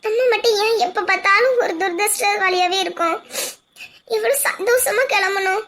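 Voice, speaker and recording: A woman's voice: extremely high pitch, moderate pace, a bit bright. A woman playing a young wife who weeps and calls herself unlucky, in an amateur family drama. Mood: tearful. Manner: sobbing, self-blaming lament. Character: tearful young wife, sure she is unlucky. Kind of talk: amateur domestic drama acting.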